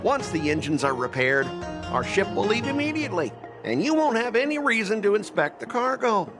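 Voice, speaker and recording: An arrogant corporate manager from a video game. His voice sits high and swings widely as he blusters and talks down to people, with music playing underneath. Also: big pitch swings, normal speed. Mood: smug, condescending. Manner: condescending, blustering. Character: arrogant, hot-tempered corporate transport manager, bullying and pompous.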